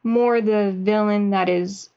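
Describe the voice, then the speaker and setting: A woman, a writer and actor, answering interview questions about her work in a slow, thoughtful way with fillers. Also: medium pitch, fairly even intonation, dark tone, clean tone, muffled recording.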